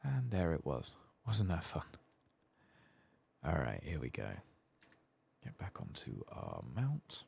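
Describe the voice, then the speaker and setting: Male gaming streamer, soft-spoken and half-whispered, gravelly with lively swings in pitch, casually sweary running murmur. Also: takes it slowly, pauses often. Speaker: streamer playing a video game.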